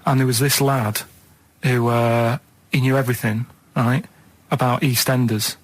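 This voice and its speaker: A man's voice: mid-range voice, flat delivery, measured pace, dry recording. Rambling, colloquial man on dry studio radio. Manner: rambling, colloquial, hesitant storytelling with tag questions. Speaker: radio show co-host telling odd stories. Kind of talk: casual rambling radio chat.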